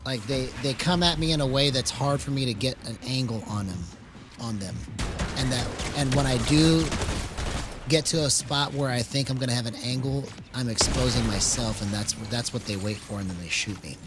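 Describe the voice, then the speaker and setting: An American man in his forties talks casually while streaming a shooter game. His voice sits at an average pitch, and he thinks aloud in halting, repeated phrases. Clear gunfire noise runs behind him. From California, US. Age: 40s. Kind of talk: casual live gameplay chat. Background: video game gunshots.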